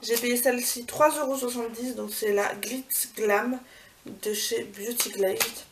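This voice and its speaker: A woman's voice: on the high side, steady tone, unhurried. A woman vlogging a casual beauty haul, chatty, with many fillers and self-corrections. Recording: far from the mic, clear background noise.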